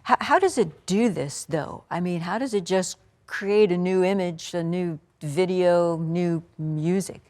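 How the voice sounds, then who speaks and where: A woman's voice: fairly low pitch, unhurried, few pauses, darkish tone. Woman moderating a faculty panel. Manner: encouraging, asks follow-up questions.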